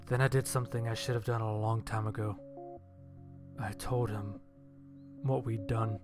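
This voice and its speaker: A man narrating a scripted first-person story and acting out his own lines of dialogue. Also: mid-range voice. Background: background music.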